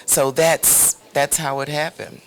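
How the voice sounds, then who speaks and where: A woman's voice: deep voice, level tone, slow, slight background noise, poor audio. Woman chatting casually in an interview. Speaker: playwright and producer being interviewed.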